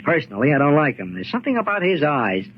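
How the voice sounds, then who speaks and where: An actor playing a wary, sharp-minded newsman with a brisk manner, in 1940s radio drama style. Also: on the high side, very animated. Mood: intent. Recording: dull, noisy 1940s radio recording.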